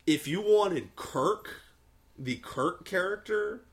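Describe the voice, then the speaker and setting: A man's voice: high voice, expressive, slow. A man hosting a podcast, chatting casually and enthusiastically with many fillers and restarts. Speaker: podcast host. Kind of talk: casual movie review chat.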